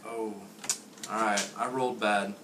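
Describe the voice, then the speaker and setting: A man's voice: lowish voice, steady tone, unhurried. A man chatting casually as he plays his turn in a card game, recorded in a small echoey room. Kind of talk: casual chat during play. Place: small room at a wooden table, indoors. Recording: echoey room, faint noise.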